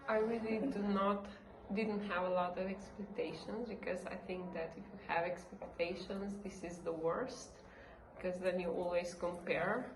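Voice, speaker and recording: A woman of twenty-four, a master's student, answering interview questions in a lively, frank way. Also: on the low side, normal speed, echoey room, noisy background. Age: 20s.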